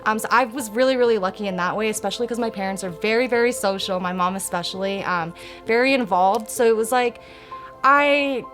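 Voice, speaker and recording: A woman's voice: mid-range voice, brisk, a bit bright, soft background music. Thoughtful woman in her twenties. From Kenya, raised in the United States. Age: 20s. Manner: thoughtful, with frequent ums. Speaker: guest, activist. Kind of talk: podcast interview.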